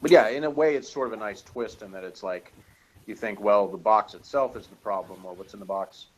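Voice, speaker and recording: A man's voice: fairly low pitch, a little fast, poor audio. A man co-reviewing a TV series in casual, unscripted conversation.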